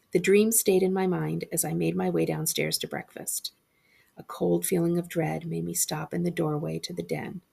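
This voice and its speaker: Low, creaky-voiced woman reading a story. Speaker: librarian reading aloud. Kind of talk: expressive storybook narration of a horror tale.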